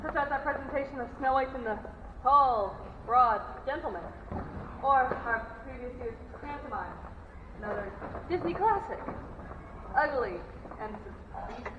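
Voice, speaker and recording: A woman's voice: sits high, lively intonation, unhurried, pauses often. Young woman as proud flustered director, formal stage speech, muffled recording, background noise. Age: teen or young adult. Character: proud, flustered drama society director introducing the play. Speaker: student actor. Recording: echoey noisy hall, distant muffled mic.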